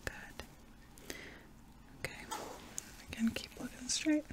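Young woman whispering softly. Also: slow. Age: young adult. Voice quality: breathy, unvoiced whisper. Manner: soft, reassuring, instructing step by step. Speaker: ASMR roleplayer playing an anesthesiologist. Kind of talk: whispered ASMR medical exam roleplay.

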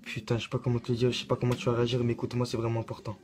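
A man's voice: mid-range voice, completely flat delivery, very fast, heavy background noise. A young man talking casually and theatrically, in slang, in a prank call vlog, recorded on a phone at arm's length in a small room. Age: young adult. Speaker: online prankster.

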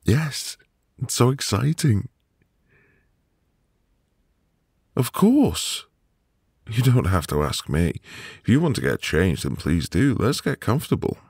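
A man playing a sweet, reassuring newlywed husband in an intimate ASMR roleplay, with a gravelly, breathy voice and a gentle manner. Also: on the low side, big pitch swings, no echo, studio quality, exaggerated voice. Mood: reassuring, warm. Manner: slow, gentle, soothing. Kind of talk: ASMR boyfriend roleplay, intimate soft delivery.

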